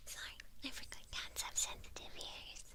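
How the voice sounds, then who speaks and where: A woman playing a doting, teasing witch in a softly whispered ASMR roleplay. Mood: fond, musing. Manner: slow, soft, gently teasing. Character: teasing, doting female witch tending her new cat familiar.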